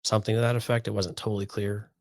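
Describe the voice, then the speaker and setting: Man, very low pitch, rapid casual discussion, podcast co-host. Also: level tone, a little mellow, no echo, clean studio sound.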